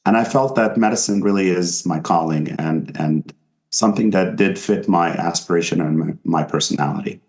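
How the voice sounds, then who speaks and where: A man's voice: sits low, moderate pace, darkish tone. A physician guest from Egypt, a man who speaks thoughtfully and hesitantly with many fillers. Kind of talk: podcast interview.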